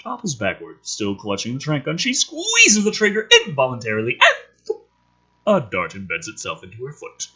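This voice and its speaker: A man's voice: mid-range voice, wildly swooping pitch, normal speed, sharp tone, husky, muffled recording. A man reading a screenplay aloud in a theatrical, emphatic way, dropping into casual, sweary, sardonic commentary. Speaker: host reading and commenting on a film script.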